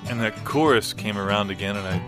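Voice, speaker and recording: Hesitant low man playing a bewildered performer, guitar behind. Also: natural voice. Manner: hesitant, halting, conversational. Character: bewildered man recounting being stuck wordless onstage. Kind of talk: improvised spoken comedy monologue over music. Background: guitar music.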